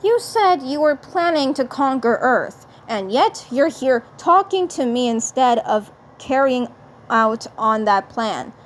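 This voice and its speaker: Casting: curious male alien commander, read by a woman, wry and teasing, unhurried. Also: sits high, animated, a little hiss or hum.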